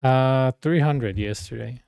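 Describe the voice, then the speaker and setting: A man's voice: mid-range voice, slow, creaky, darkish tone, clean tone, no echo, clean studio sound. A man streaming games and chatting casually, with informal, slangy banter. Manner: informal, slangy banter, switching between Spanish and English. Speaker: live streamer. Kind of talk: casual gaming stream chat.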